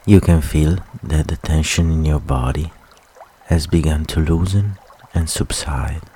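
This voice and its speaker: A man leading a guided relaxation meditation with soft, soothing, unhurried instructions and long pauses. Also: very deep voice, husky.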